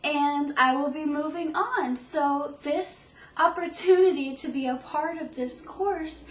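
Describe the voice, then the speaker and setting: A woman's voice: sits high, slow pace. A young woman in her twenties, a graduate assistant, introducing herself eagerly for an online course. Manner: eager and upbeat. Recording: echoey room, distant mic.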